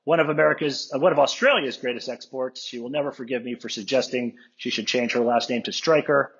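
Husky man introducing a cast. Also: medium pitch, quick pace, fairly bright tone. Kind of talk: scripted introductions with asides. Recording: slightly muffled video call audio.

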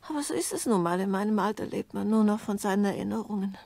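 An actress playing an ailing, sweetly domineering old mother in a German radio drama, with plaintive, theatrical, drawn-out phrasing. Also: medium pitch, lively intonation, vocal fry, a little mellow, dry recording. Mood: wistful grief. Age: older.

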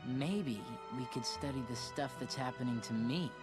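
A man's voice: medium pitch, moderate pace, loud music behind the voice, lightly acted. An actor playing a troubled, wistful teenage boy in a video game cutscene, with a hesitant manner. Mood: uneasy.

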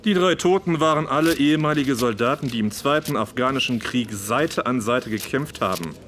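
A man playing a gruff, self-important Victorian police detective in a German radio play, brusque and pompous in manner. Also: medium pitch, normal speed, a bit bright, natural voice. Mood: matter-of-fact.

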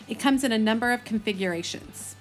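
Chatty Texan woman, higher-pitched voice. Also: brisk, over music. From Texas, United States. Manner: chatty, enthusiastic, folksy. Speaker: host of a gardening video channel. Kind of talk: casual vlog narration.

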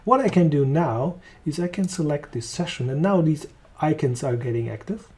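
A man with a German accent walking through a software demo, talking casually as he clicks along. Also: a little high, normal speed. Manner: relaxed, thinking aloud while clicking. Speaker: software trainer. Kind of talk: screen-recorded software demo.

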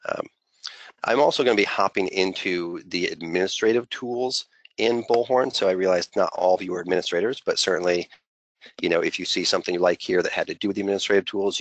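A man presenting an unscripted software walkthrough as a consultant, conversational and peppered with filler phrases. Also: sits low, gravelly, no echo. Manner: conversational, heavy use of "you know" as filler.

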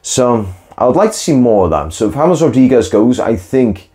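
A man's voice: mid-range voice, sing-song, moderate pace, dark tone. A young man hosting a football reaction video, talking in an opinionated, conversational way with the odd sigh, tired after a long day, filmed indoors by a window. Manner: conversational, opinionated, occasional sighs and restarts. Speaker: football channel host. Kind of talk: post-match reaction monologue. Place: indoor room with window onto a marina.

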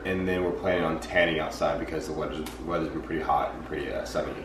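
A young man with a deep, low voice rambles easily to a camera held close. His talk is loose and unhurried. Steady hiss and noise sit behind him. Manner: laid-back, rambling, low-key. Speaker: fitness vlogger. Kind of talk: casual vlog narration. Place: apartment kitchen and parked car. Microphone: handheld camera held close.

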